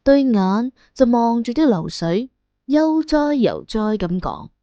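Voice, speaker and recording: A woman's voice: a little high, big pitch swings, takes it slowly, dark tone, no echo, muffled recording. A woman narrating an audiobook in a colloquial storytelling style.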